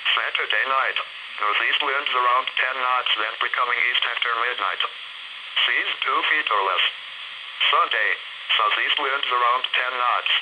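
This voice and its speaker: A synthetic male voice reading a scripted automated weather bulletin as a radio announcer, in a flat, segmented delivery. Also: mid-range voice, unhurried. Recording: very noisy weather radio broadcast.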